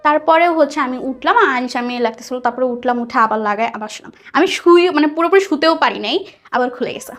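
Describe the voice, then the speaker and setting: High-voiced young Bangladeshi woman storytelling. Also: quick pace. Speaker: guest telling a personal story. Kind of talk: conversational spoken storytelling. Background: background music.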